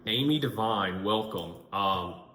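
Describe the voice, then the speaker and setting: A man's voice: on the low side, takes it slowly, bright, forceful tone. A man hosting a podcast and interviewing a guest in a casual, conversational way. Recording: echoey room, clear background noise.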